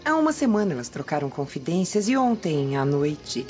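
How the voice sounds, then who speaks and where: A sly, deferential servant woman sharing secrets in a radio drama, with a Brazilian accent. Her low voice is measured and formal, on a dull recording. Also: sing-song, a little mellow, faint music behind. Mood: confiding. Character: deferential, conspiratorial maidservant spying for her mistress. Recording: dull radio drama audio, faint noise.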